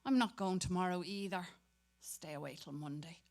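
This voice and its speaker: A woman's voice: fairly low pitch, moderate pace, darkish tone, natural voice. Irish schoolboy voiced by a woman: working-class, colloquial, grumbling inner monologue for a dramatic stage reading. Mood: cheeky daydreaming. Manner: colloquial, slangy interior monologue.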